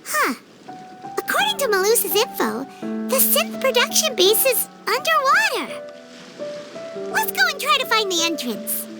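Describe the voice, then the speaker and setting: A woman voicing a small, childlike, eager fairy companion with a squeaky, chirpy voice in a video game. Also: huge pitch swings, measured pace, pauses often, full character voice. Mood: plucky, exploring. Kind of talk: animated video game voice acting. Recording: loud music under the voice. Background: loud music.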